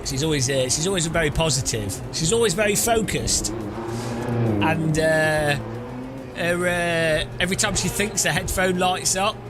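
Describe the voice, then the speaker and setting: A man's voice: fairly high pitch, measured pace. A man streaming a sim racing game, chatting casually and teasing his viewers with rambling jokes. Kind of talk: casual live-stream chat while driving. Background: loud electronic music.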